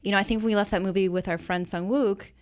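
A woman's voice: medium pitch, fairly even intonation, fast talker. Woman guesting on a casual film chat. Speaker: guest co-host.

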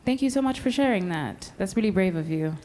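A woman's voice: mid-range voice, animated, brisk, a little mellow. A woman hosting a live podcast panel, bantering with guests and encouraging them in an exclamatory way. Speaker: podcast host. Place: live event with an audience. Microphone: event microphone.

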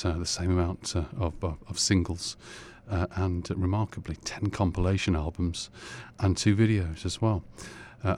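A male radio host with a very low voice introduces tracks in a loose, rambling way, stopping often to search for words. A faint hiss of ambient noise sits under his voice. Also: a little mellow. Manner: rambling, halting, many fillers. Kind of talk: casual music introductions.

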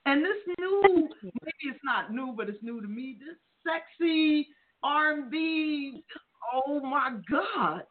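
A woman hosting a casual radio talk show, gushing and excitable as she introduces and praises her guest. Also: high-pitched, slow pace. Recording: tinny phone-like audio.

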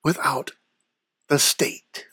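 A performer voicing a vain, haughty Devil in a comic mock interview, speaking tersely and formally with a husky, breathy rasp. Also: bright, forceful tone, dry recording, clean studio sound. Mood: stern, terse. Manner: terse, formal, slow and deliberate.

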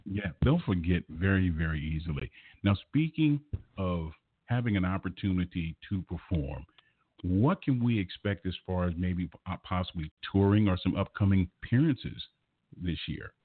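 A man's voice: deep voice, expressive, moderate pace, husky, narrow, tinny audio. A man hosting a conversational radio interview, encouraging and complimentary toward his guest. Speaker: radio show host interviewing a singer.

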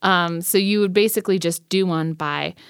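American lady host, lowish voice, playful and frank, casual chat, super dry close sound. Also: moderate pace, smooth, no echo. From United States. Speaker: host, sexuality educator.

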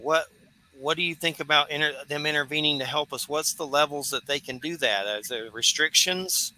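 A man's voice: a little high, a little fast, pushed and bright, poor audio. A man hosting an interview, asking curious, rambling questions full of fillers. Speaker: host. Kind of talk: interview conversation.

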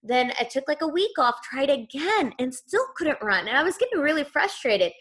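Woman in her thirties, fairly high voice, earnest and conversational podcast guest. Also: very animated, moderate pace, a bit bright. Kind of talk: personal storytelling in interview.

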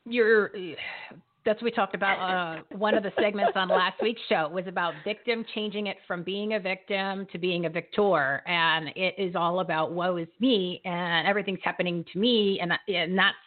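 A woman's voice: fairly low pitch, fairly even intonation, moderate pace. A woman host with a New Jersey accent, chatting on a live online show, often restarting sentences and laughing. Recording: phone-like narrow audio.

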